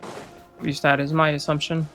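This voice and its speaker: A man's voice: a little high, monotone, moderate pace, fairly bright tone, faint music behind. A man giving casual, sweary commentary as he plays a game, grumbling and sarcastic. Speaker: game streamer.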